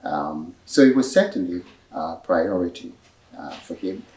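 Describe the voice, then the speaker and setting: A man giving measured, explanatory answers at a library book talk, pausing often with fillers. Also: low voice, animated, a little mellow. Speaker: author and speaker at a book talk. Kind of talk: answering audience questions. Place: library event room. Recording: echoey room, voice from a distance.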